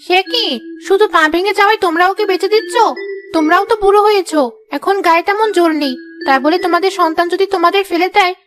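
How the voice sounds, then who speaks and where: A woman's voice: extremely high pitch, quick pace, a bit bright, soft background music. A woman voicing an earnest, entreating village woman in a cartoon fairy tale. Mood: reproachful. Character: pleading village sweet-shop woman and a dutiful young daughter.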